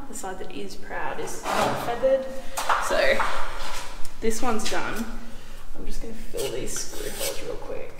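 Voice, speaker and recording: Australian woman, thirties, casual vlog chat, bare echoing room, noisy camera-mic sound. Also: medium pitch. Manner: chatty, laughing, self-deprecating. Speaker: vlogger renovating her apartment. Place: empty apartment under renovation, bare walls and concrete floor. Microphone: handheld camera mic. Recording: echoey empty room, noisy.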